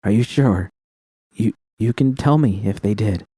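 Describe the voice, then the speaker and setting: A man's voice: fairly low pitch, measured pace, mellow, husky, no echo. A man voicing an anxious, protective young woman in an audiobook, stammering with urgent concern. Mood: worried.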